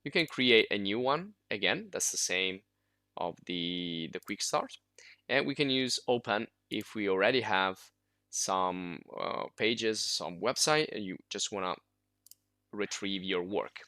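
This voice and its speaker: A man whose first language is Italian walks through a software tutorial in a loose, informal way, full of fillers and restarts. His voice sits fairly low yet sounds bright and forward. The recording is dry and close, with no room around it. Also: animated, a little slow, no echo. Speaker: software instructor.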